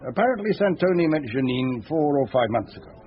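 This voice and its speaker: A man's voice: fairly high pitch, expressive, a little fast, close to an everyday voice. An actor playing a diligent, precise investigator in a radio drama, reporting facts methodically to his chief. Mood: methodical, factual. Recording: dull, muffled radio sound.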